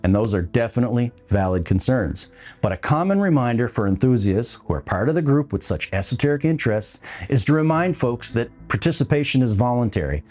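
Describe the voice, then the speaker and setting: A man's voice: mid-range voice, expressive, brisk, a little mellow. A man hosting a podcast, delivering scripted opinion commentary with a wry, dry humour. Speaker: podcast host.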